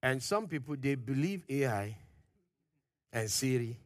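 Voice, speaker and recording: A man preaching a sermon, pressing his points with questions to the congregation, loud at the peaks and dropping low and quiet at phrase ends. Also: animated, unhurried, no echo, studio quality. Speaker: pastor.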